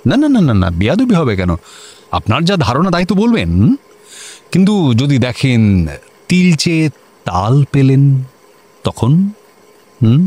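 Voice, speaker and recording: An actor playing a vain, self-important government officer in a radio comedy, humming and repeating himself pompously. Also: male voice, medium pitch, sing-song, darkish tone. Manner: pompous, drawn-out hums and repeated agreement. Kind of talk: Bengali radio comedy drama acting.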